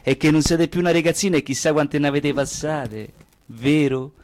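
Actor as a high-voiced, brash Roman flirt. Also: male voice, normal speed, darkish tone, a little hiss or hum, close to an everyday voice. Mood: cheeky flirting. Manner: colloquial, insistent, teasing. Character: brash, cheeky middle-class-pretending male suitor, crude flirt. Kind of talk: theatrical comic scene acting.

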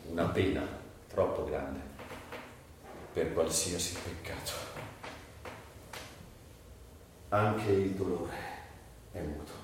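An actor playing a disillusioned man mourning a dead friend in a halting theatrical monologue with weighty pauses. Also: deep voice, darkish tone. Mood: bitter, anguished. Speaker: stage actor. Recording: echoey stage, distant mic, faint noise.

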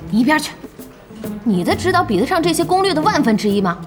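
Casting: brash, bossy young female boss for audio drama, higher-set lively voice, music underneath. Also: fast. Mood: dismissive. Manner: animated, blunt, teasing. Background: music behind the voice.

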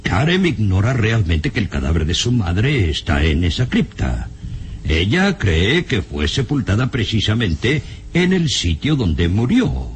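Deep-voiced, slow, haunted professor. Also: male voice, very animated. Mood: grave, secretive. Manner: dramatic, halting, ominous. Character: grave, shaken male professor recounting dark castle secrets. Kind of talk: old radio serial acting. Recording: noisy old radio serial recording, rumble.

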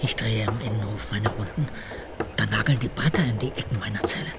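Guy playing a bitter old butcher murderer in a radio drama, gravelly muttered confession, noisy background. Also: on the low side, a little fast, natural voice. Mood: hushed, anguished. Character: coarse aging male butcher turned killer, self-pitying, resentful.

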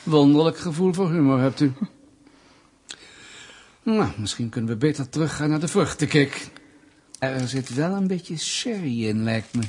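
Smug, menacing state official, slightly husky. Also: male voice, on the high side, animated, moderate pace, long pauses, darkish tone. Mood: sly, mock shocked. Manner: mock-polite, sarcastic, pedantic, insinuating. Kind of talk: Dutch radio play acting.

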